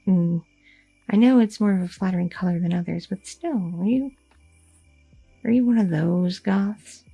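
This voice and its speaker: A woman playing a gravelly, husky girlfriend. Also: mid-range voice, normal speed, dark tone, no room sound, faint music behind, poor audio. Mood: curious. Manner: rambling, inquisitive, filler-heavy talk. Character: curious, chatty young adult woman, an anxious girlfriend. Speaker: ASMR roleplay performer. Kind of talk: ASMR audio roleplay.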